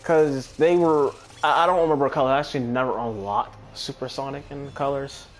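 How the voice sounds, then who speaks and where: A man's voice: medium pitch, steady tone, a little fast, a little hiss or hum. A man giving casual, rambling gameplay commentary and swearing freely. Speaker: gamer commentating on own play. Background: video game music.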